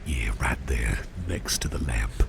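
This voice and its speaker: A man playing a doting, protective businessman boss in an ASMR roleplay, murmuring in a gentle, courteous, breathy whisper with unhurried, reassuring repetition. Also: rumbling low, exaggerated voice. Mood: caring, attentive. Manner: gentle, unhurried, hesitant pauses, repeats phrases reassuringly. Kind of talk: ASMR roleplay, close personal attention. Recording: audible steady background noise.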